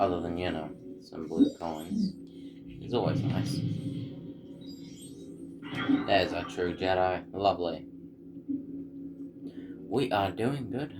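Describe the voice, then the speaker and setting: Very deep-voiced man commentating on a game. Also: low-quality recording. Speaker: gameplay video host. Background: loud game music.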